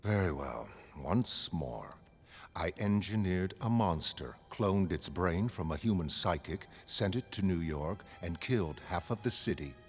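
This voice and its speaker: A man voicing a coldly arrogant genius villain, smooth, unhurried and superior, in a motion comic. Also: low voice, expressive. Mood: smug calm. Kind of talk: dramatic motion comic narration.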